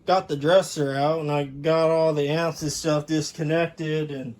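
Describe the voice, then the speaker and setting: A man's voice: a little high, fairly even intonation, a little slow, darkish tone. A man casually narrating an informal, chatty show-and-tell of his hobby gear, recorded indoors on a handheld camera. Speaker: hobbyist video maker. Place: indoors in a room at home.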